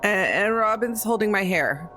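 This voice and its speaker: A woman's voice: mid-range voice, animated, normal speed, sharp tone, faint music behind. A woman player in a tabletop roleplaying game, improvising casual in-character replies.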